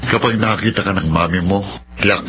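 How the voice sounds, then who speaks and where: Lalaking gumaganap na mababang-boses, maalalahaning tatay. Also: male voice, deep voice, moderate pace, pushed and bright, slight background noise. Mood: concerned. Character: concerned, gentle father of a grown son. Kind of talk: Filipino radio drama acting. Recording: dull lo-fi with music behind.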